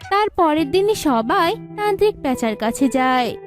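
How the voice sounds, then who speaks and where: A woman's voice: high-pitched, lively intonation, normal speed, music in the background. A woman narrating a scripted children's cartoon story.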